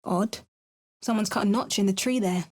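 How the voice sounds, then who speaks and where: An actress voicing a wry, bookish adventuring heroine with a British accent, speaking game voice lines and spell words. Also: female voice, mid-range voice, moderate pace, a little mellow, natural voice. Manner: dry, wry remarks and chanted spell words. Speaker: player character voice lines.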